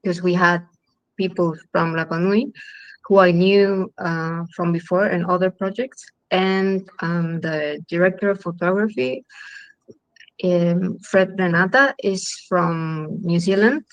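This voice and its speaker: A woman filmmaker from Chile with a Chilean Spanish accent, speaking English in a halting way full of ums and restarts. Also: fairly low pitch, steady tone, no room sound, highs cut off. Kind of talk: festival Q&A talk.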